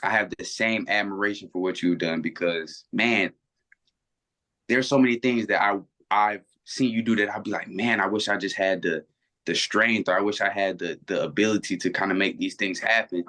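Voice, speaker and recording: Low-voiced earnest young man, rambling. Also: moderate pace, pushed and bright. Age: 20s. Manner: earnest, rambling with many restarts. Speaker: interview guest. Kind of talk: reflective casual conversation. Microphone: video call.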